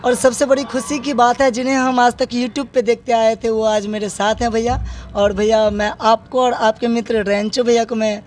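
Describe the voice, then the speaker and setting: A man's voice: very high voice, little pitch movement, moderate pace, a little hiss or hum. A man doing an enthusiastic, effusive on-location interview for local news. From Bihar, India.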